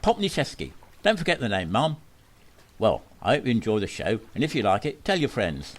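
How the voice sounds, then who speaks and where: Man acting a pushy drowning showman, wheedling salesman patter, lively swooping pitch, radio play, faint hiss. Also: a little fast. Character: pushy male con man who stages his own drownings for money.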